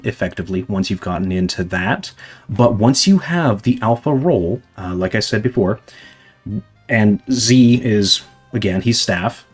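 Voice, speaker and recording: A young man chatting casually to his viewers on a livestream, rambling with lots of fillers and a voice going slightly hoarse from talking a long time. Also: on the low side, a little mellow. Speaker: livestreamer answering chat.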